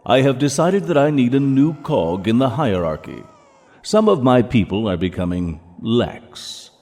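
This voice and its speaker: A man playing Satan in an audio drama, a suave, courteous and sinister ruler of hell who speaks formally and with measured politeness. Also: medium pitch, very animated, darkish tone. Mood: smooth, calculating. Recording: long cavernous reverb.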